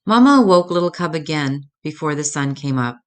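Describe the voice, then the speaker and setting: A woman's voice: low voice, animated, a little fast. An older woman storyteller reading a children's picture book aloud.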